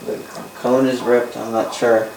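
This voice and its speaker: Male, Canadian accent, amateur hobbyist presenter. Monotone, halting delivery with rephrasing, heard in an echoey room. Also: medium pitch, crowd noise. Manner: halting, repeats and rephrases himself. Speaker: vintage radio hobbyist presenting. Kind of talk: casual unscripted demonstration. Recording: roomy, distant mic, faint noise.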